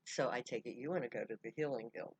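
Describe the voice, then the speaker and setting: A storyteller voicing a calm, businesslike woman counselor who speaks briskly. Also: mid-range voice, husky, no echo, lightly acted, rough recording.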